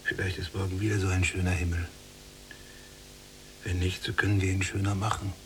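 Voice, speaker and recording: An actor playing a watchful, coolly domineering younger prisoner in a radio drama, speaking in measured, musing phrases. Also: male voice, sits low, flat delivery, lightly acted. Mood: uneasy, hushed. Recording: distant mic, noisy hum.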